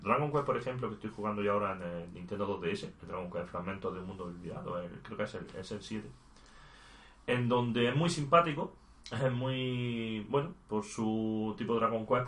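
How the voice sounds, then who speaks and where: Low-voiced man, chatty, echoey room. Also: a bit bright. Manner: chatty, laughing asides, stammering restarts. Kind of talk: casual gaming chat.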